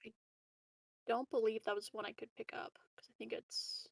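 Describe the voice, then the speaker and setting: A woman's voice: fairly high pitch, flat delivery, moderate pace, vocal fry, no echo, far from the mic. A woman playing a tabletop roleplaying game, talking casually at the table.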